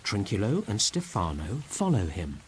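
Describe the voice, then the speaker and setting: A man's voice: on the low side, wildly swooping pitch, moderate pace, a little hiss or hum. A man narrating a read-along story for beginners.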